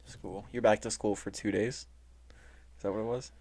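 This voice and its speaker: Low-voiced young man chatting casually. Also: fairly even intonation, moderate pace, vocal fry, darkish tone. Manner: laid-back, slangy, swearing. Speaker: podcast co-host. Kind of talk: casual chat.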